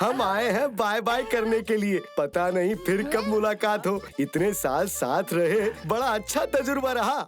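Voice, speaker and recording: A woman's voice: mid-range voice, animated, unhurried, hardly any pauses, over music, lightly acted. A woman playing a falsely cheerful, chatty neighbour in a Hindi children's cartoon, breezy and theatrical. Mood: cheerful farewell.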